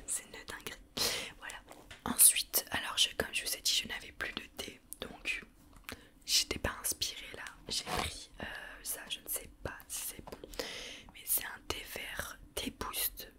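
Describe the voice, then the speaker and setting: A woman's voice: a little slow, bright, forceful tone. Chatty woman in a whisper. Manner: chatty, self-mocking, lots of fillers. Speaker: ASMR vlogger. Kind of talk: whispered ASMR grocery haul.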